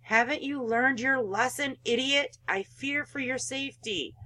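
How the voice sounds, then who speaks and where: A woman's voice: high voice, measured pace, sharp tone, heavily acted. A woman voicing a cold, belittling, controlling mother in a visual novel, with a condescending, guilt-tripping manner. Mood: stern.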